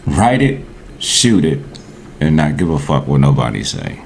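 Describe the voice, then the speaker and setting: A man's voice: very deep voice, big pitch swings, measured pace, darkish tone, a little hiss or hum. An American man in his forties chatting casually as a podcast guest, blunt, slangy and profane. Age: 40s.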